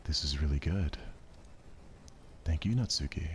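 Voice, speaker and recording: A man's voice: a bass voice, measured pace, slight background noise. A man voicing an ordinary, awkward teenage schoolboy in a visual novel, casual and hesitant. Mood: casual, unsure.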